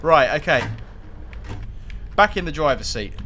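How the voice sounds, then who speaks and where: A man's voice: a little high, measured pace, slight background noise. Chatty Englishman with a cold. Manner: chatty, relaxed, repeats words. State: has a cold, sniffling. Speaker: train sim streamer. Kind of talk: casual gameplay commentary.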